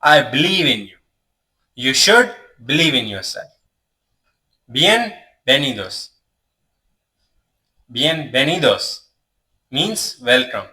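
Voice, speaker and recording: A man's voice: mid-range voice, sing-song, extremely slow, pauses often, pushed and bright. A young man teaching beginner Spanish, who says each phrase several times with care and then gives its meaning in English. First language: not Spanish. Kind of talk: slow beginner language lesson. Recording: voice a bit far from the mic.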